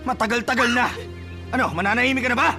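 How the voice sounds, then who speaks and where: An actor playing a cheating, short-tempered young boyfriend in a Filipino radio drama, with a Filipino accent and a defensive, exasperated manner. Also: male voice, extremely high pitch, fairly even intonation, very fast, pushed and bright, faint music behind, full character voice.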